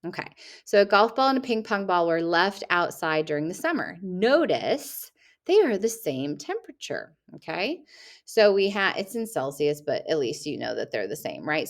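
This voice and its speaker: Woman teacher, lowish voice, patient read-aloud walk through a class test, recorded at her computer. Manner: patient, asks questions back to the listener. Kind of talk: reading a test aloud to students.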